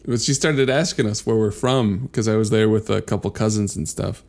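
A man chatting casually as a podcast co-host, telling animated anecdotes with easy swearing. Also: on the low side, normal speed, vocal fry. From born in Venezuela, left in infancy.

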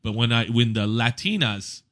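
A man who works as a DJ, chatting casually and telling stories in an animated way, acting out exchanges. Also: mid-range voice, normal speed. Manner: animated storyteller, acts out exchanges. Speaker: event DJ. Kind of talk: casual anecdotal chat.

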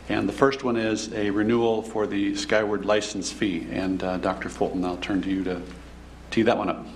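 Low-voiced man chairing a meeting. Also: a little hiss or hum. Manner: brisk, procedural. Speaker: board president chairing meeting. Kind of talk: formal meeting procedure. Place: public meeting room.

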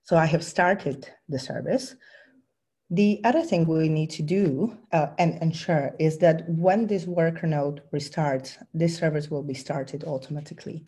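A woman's voice: low-pitched, measured pace, mellow, husky. A woman with a cold giving a technical presentation, explaining a live demo with frequent ums and restarts. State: has a bad cold. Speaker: presenter giving a tech talk.

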